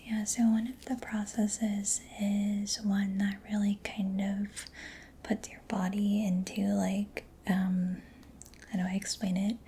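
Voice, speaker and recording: A woman doing a soft-spoken ASMR roleplay as a mechanic, in a breathy whisper with a gentle, hesitant, reassuring manner. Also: mid-range voice, level tone, takes it slowly, a little hiss or hum.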